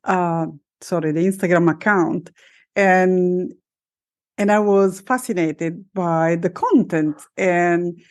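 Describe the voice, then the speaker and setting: A woman hosting a podcast interview as a life coach, speaking in a measured way with some fillers and self-corrections. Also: on the low side, a little mellow.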